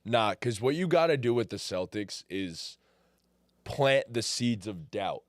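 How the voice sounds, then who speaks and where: A man's voice: fairly low pitch, expressive, measured pace, no echo, studio quality. A young man co-hosting a podcast, talking basketball casually with lots of slang and "bro". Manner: slangy, says "bro" a lot. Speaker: podcast co-host. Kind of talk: casual sports chat.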